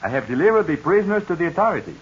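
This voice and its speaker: Courtly gentleman. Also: a little high, very animated, rapid-fire, a little hiss or hum. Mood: courteous. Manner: formal, courtly, broken English. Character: courteous Latin American gentleman host. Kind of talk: 1940s radio acting. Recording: dull lo-fi 1940 radio recording.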